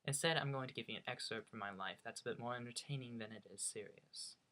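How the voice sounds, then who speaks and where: A man's voice: medium pitch, fast talker, pushed and bright. Young man with a slight Norwegian accent. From United States. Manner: chatty, self-mocking, hesitant restarts. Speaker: vlogger. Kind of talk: casual storytelling.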